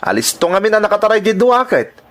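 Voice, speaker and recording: A man's voice: high voice, sing-song, moderate pace, a bit bright. A Filipino man playing a cocky, brawling son who answers back to his mother in an audio drama, boastful and defensive.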